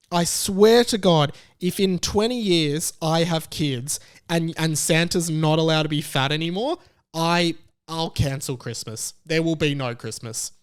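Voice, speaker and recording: A man's voice: a little high, smooth, no room sound, studio quality. A young man with an Australian accent giving emphatic, exasperated, comically indignant commentary. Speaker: YouTube commentator. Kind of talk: ranting commentary on a news story.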